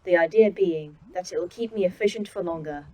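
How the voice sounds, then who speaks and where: A woman voicing a calm female AI that runs a secret facility, speaking in a precise, dry manner in an audio drama. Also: fairly low pitch, a little fast, lightly acted, rough recording. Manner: precise, dry, oddly proud of hobbies.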